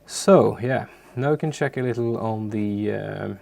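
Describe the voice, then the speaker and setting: A man from Sweden, a native Swedish speaker, giving an informal, unscripted software tutorial in a halting, unassuming way with a gravelly, creaky voice. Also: lowish voice. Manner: halting, searching for words, unassuming. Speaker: music software tutorial presenter. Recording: faint background noise, keyboard clicks.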